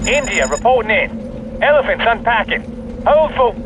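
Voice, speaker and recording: An actor playing a gruff male marine in a military video game, with clipped radio-style talk. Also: very high-pitched, sing-song, normal speed, noisy background. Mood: tense, reporting. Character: gruff, battle-hardened male marines in combat.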